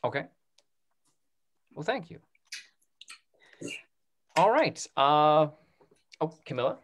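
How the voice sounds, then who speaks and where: Higher-pitched man hosting an online talkback. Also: very animated, slow, fairly bright tone, slight background noise. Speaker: instructor hosting a theatre talkback. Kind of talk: informal announcements to a group. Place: indoor room on a video call. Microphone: computer microphone on video call.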